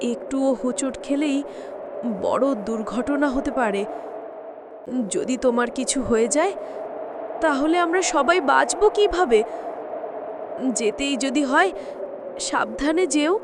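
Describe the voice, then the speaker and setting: A noisy rumble sits behind a woman acting a woodcutter's worried wife in an audio story. Her voice is high and slow, caring and anxious as she pleads. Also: lively intonation. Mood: anxious pleading. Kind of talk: dramatised story dialogue.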